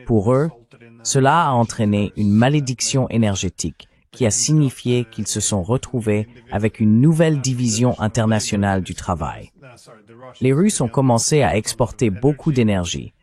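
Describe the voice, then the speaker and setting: A man's voice: medium pitch, darkish tone. A man reading a formal translated voice-over of an interview host setting out questions.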